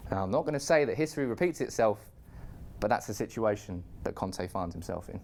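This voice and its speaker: A man's voice: fairly low pitch, lively intonation, a little fast, a little mellow, slight background noise. A man arguing football as a pundit, telling it dramatically and talking over the others. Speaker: Manchester United fan pundit. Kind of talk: heated football debate.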